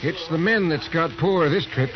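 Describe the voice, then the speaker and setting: An actor playing a plain-spoken, skeptical cowhand with dry, folksy cowboy speech in an old radio western. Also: male voice, on the high side, moderate pace, close to an everyday voice. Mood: easygoing. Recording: muffled, noisy old radio recording.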